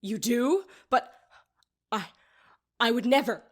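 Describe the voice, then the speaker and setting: A prim, haughty older lady in an audio drama, acted by a woman, with a fairly high voice. She speaks formally and scolds like someone easily offended. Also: slow.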